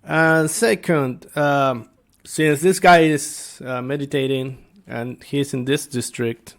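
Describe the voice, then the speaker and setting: Slow, halting man with a creaky voice. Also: mid-range voice, steady tone. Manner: halting, hesitant, searching for words. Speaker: tabletop game master and video creator. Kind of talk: informal explainer talk to viewers.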